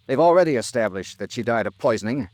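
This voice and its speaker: An actor playing an earnest, insistent American investigator in an old-time radio drama, speaking in urgent, clipped phrases. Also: male voice, medium pitch, animated, fast, a little mellow, no echo, close to an everyday voice. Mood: firm, urgent. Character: insistent, earnest male insurance investigator, gentle but hard-boiled.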